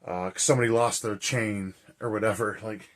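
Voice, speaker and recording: A man with a hoarse, raspy voice, chatting casually and rambling with lots of fillers as a movie vlogger. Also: on the low side, fairly even intonation, a little fast. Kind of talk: casual chat.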